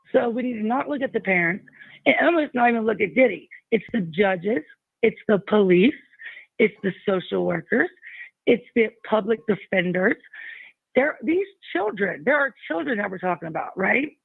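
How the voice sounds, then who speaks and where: A man's voice: high-pitched, expressive, normal speed, vocal fry, husky, no echo. A man calling into a live show, insistent and rambling, talking over the host. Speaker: caller. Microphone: phone. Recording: phone line, dry.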